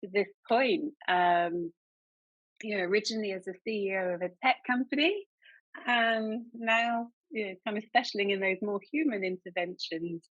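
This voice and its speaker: A woman's voice: medium pitch, moderate pace, no echo. A woman hosting a podcast, introducing her guest in an enthusiastic, chatty way with many restarts. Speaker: podcast host. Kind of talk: podcast interview. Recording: dull, dry sound.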